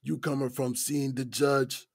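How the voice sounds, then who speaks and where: Man playing a gravelly old Gullah Geechee inmate. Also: level tone, no room sound, clean studio sound. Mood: wry, easygoing. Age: 60s. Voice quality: deep, raspy, gravelly smoker's voice. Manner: folksy, unhurried, colloquial drawl. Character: easygoing older male inmate, folksy lifelong smoker. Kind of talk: audio drama acting.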